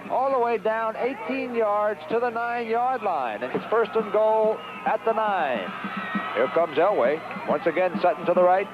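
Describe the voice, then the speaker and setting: High-pitched American man calling football on radio. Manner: animated, urgent calls in short clipped phrases. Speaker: play-by-play sports commentator. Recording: dull, noisy 1970s radio broadcast recording.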